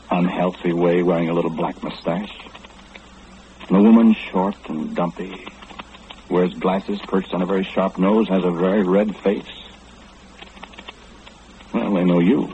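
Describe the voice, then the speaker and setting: A man playing a courteous, eccentric, all-knowing gentleman in an old-time radio drama, speaking with formal, deliberate enunciation. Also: low voice, fairly even intonation. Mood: earnest, probing. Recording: muffled, noisy old radio recording.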